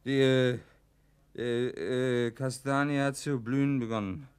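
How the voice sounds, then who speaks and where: An actor playing a bitter, broken alcoholic German husband in a radio play, colloquial and given to blustering and rambling. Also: male voice, medium pitch, fairly even intonation, measured pace, smooth, close to an everyday voice. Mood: dazed, rambling.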